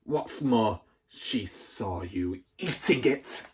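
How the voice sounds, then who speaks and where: A storybook reader voicing a huge, cruel, tyrannical headmistress who barks and booms insults. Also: animated, very slow, darkish tone, slightly rough, exaggerated voice. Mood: furious, bellowing. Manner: barking, booming insults. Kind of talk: storybook read aloud.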